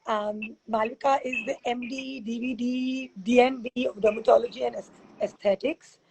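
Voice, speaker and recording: A woman hosting a casual interview over a video call, chatty and rambling, her voice husky from teaching back-to-back classes. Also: on the high side, steady tone, moderate pace, a little mellow, a little hiss or hum, low-quality recording. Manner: chatty, rambling, laughs often. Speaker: fitness expert and host.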